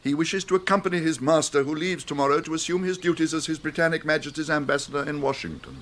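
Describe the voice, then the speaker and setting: An actor playing an unruffled gentleman's valet in a radio comedy, formal, measured and deferential, with an English accent. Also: on the high side, steady tone, slight background noise. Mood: polite, composed. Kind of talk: radio comedy drama acting.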